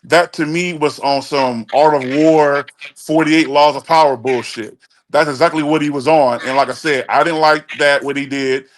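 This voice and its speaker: A man's voice: a little high, fairly even intonation, normal speed, a little hiss or hum, low-quality recording. Blunt, emphatic man in his forties. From Chicago, USA. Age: 40s. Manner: blunt and emphatic, stumbles and restarts. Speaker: guest caller on a talk show. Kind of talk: casual opinion chat.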